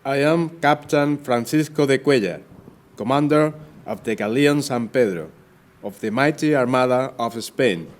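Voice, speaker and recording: A man portrays a proud captain of Spain who feels wronged, in an audio play. His mid-pitched delivery is unhurried and declamatory. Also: close to an everyday voice. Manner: declamatory, formal. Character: proud, wronged Spanish sea captain, gallant and indignant.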